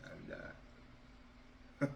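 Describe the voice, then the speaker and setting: A man performing a stage monologue as a bitter, drunken Southern ex-athlete confronting his father, halting and repeating his words. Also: a little mellow. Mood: wistful, bitter. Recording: roomy, distant mic, faint noise.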